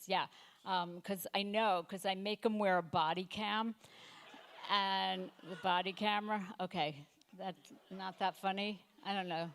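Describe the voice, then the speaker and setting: A woman in her fifties doing a stand-up comedy set, delivering deadpan one-liners with halting fillers and self-corrections. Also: mid-range voice. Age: 50s. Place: comedy night stage before a live audience.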